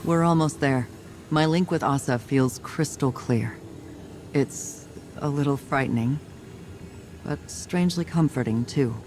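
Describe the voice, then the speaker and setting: A man's voice: mid-range voice, a little slow, a little mellow, a little hiss or hum, lightly acted. A man playing a weary, haunted soldier who reflects in a subdued monologue in a video game. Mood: troubled, reflective. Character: weary, haunted soldier confiding doubts, resolute about duty. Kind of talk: scripted game dialogue.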